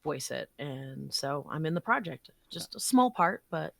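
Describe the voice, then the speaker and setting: A woman's voice: sits low, sing-song, a little slow, creaky, no echo, studio quality. A woman voice actor speaking as a podcast guest, recounting experiences in an encouraging, conversational way.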